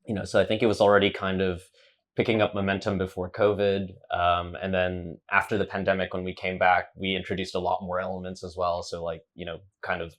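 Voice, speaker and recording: Low-voiced relaxed man in his thirties. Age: 30s. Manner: relaxed, measured, many fillers. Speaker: festival co-founder, DJ. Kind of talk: casual interview chat.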